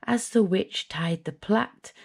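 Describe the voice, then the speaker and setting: A woman with a British accent reading a children's picture book aloud in an expressive, sing-song way, with asides to young listeners. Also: mid-range voice, slow pace, gravelly, husky. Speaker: storyteller, children's channel host.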